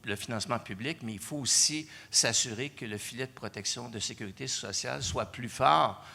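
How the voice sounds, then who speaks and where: A man's voice: mid-range voice, a little fast, creaky, pushed and bright. A middle-aged man speaking on a panel, hesitant with many restarts. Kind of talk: panel discussion.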